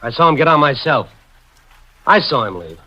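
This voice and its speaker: A man's voice: a little high, big pitch swings, normal speed. A man acting a hot-tempered, defensive husband in an old-time radio suspense drama. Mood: angry, defensive. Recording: dull vintage radio recording.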